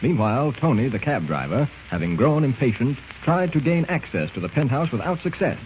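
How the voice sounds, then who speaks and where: A man's voice: medium pitch, sing-song, fairly quick, lightly acted. A man narrating dramatically as a 1940s radio serial announcer. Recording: muffled, very noisy old radio recording.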